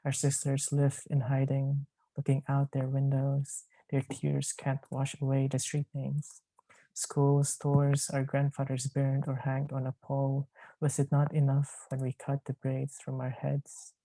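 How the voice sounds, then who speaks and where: Man reading poetry, steady and bright. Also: medium pitch, measured pace, no echo, rough recording. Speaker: poet.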